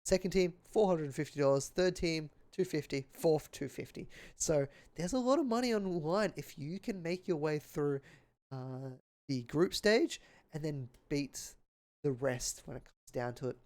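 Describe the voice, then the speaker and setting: A man's voice: a little high, moderate pace, darkish tone. A man doing live play-by-play esports commentary, earnest and appreciative, his voice a little strained and tiring. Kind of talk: live game commentary and sign-off.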